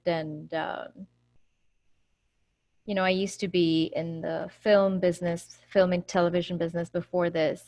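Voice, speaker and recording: A woman from India in her thirties, a yoga teacher talking casually over a video call, laughing easily between fillers. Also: lowish voice.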